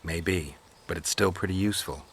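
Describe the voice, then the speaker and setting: An actor playing a gruff, laconic monster hunter in a fantasy video game, terse and flatly questioning, with a gravelly growl. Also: male voice, sits low, normal speed, noisy background. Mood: wry, businesslike.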